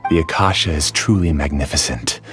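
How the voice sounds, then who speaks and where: Low-voiced, obsessive researcher villain. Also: male voice, fairly quick, a bit bright, soft background music. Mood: proud, fascinated. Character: calm, self-justifying male researcher obsessed with his dream world. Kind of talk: scripted video game voice acting.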